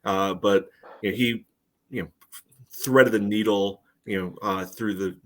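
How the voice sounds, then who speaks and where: Low-voiced man, halting sports podcast chat. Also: steady tone, fairly bright tone. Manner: halting, peppered with "you know". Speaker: podcast co-host. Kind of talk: casual sports commentary.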